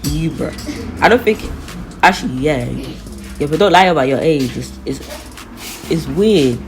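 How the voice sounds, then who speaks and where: Deep-voiced London woman, slangy. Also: animated, unhurried, soft background music. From East London. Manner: slangy, laughs often, talks to viewers and strangers. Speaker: YouTuber. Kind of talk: casual chat with strangers on a video app.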